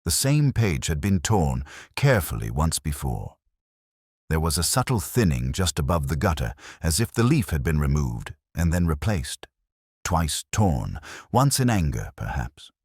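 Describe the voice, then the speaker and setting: Very deep-voiced man narrating a mystery. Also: very animated, darkish tone, slightly rough, no echo, clean studio sound. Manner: measured, reflective, literary delivery. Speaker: narrator of a detective story. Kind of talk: scripted first-person mystery narration.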